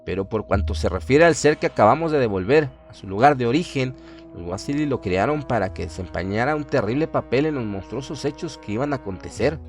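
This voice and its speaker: Un hombre que interpreta a un erudito grave que advierte con solemnidad y mesura sobre un mal cósmico, en una radionovela de terror. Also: male voice, medium pitch, animated, soft background music, natural voice. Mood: grave, warning. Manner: measured, solemn, emphatic. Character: grave learned man warning villagers of cosmic evil. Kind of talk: horror radio drama acting.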